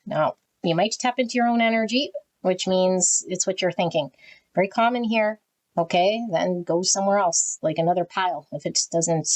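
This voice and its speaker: A woman giving a tarot reading to her viewers, talking frankly and often tagging "okay?" onto her sentences, with her voice swinging high and low. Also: a little mellow, low-quality recording. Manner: pitch swings high and low as she talks. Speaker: tarot reader. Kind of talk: casual direct-to-camera tarot reading.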